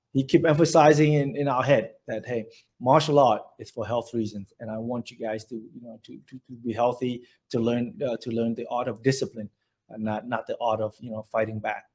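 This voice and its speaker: A man's voice: medium pitch, darkish tone. A middle-aged man from Vietnam with a Vietnamese accent, telling his life story in an oral history interview, rambling, with many restarts and fillers.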